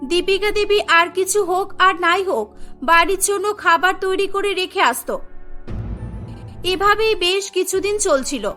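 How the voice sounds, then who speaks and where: A woman narrates a cartoon story in a very high, bright voice. Her delivery is scripted storytelling, with music playing underneath. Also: unhurried. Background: background music.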